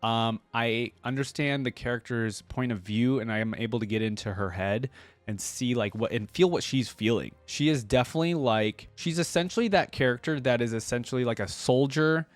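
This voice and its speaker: A man's voice: mid-range voice, normal speed, no room sound, faint music behind. A man hosting a reaction video, talking casually in an informal, slangy, rambling way.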